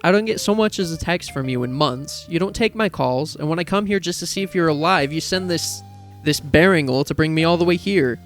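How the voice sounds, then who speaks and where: A woman voicing an exasperated, sharp-tongued older sister in a dramatic story reading. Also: low-pitched, a little fast, faint music behind, close to an everyday voice. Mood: furious. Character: exasperated, fiery older sister, sardonic and protective.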